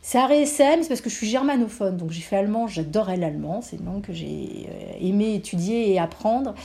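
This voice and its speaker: A woman, a guest, telling personal film memories in an animated, casual way with frequent fillers. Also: medium pitch, fairly quick, vocal fry. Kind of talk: casual storytelling about a film.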